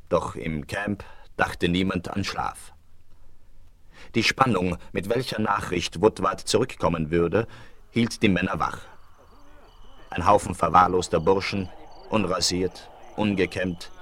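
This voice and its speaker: Deep-voiced man narrating a western. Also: a bit bright. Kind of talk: dramatic scripted narration of a western audio drama. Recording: vinyl rip, faint music behind.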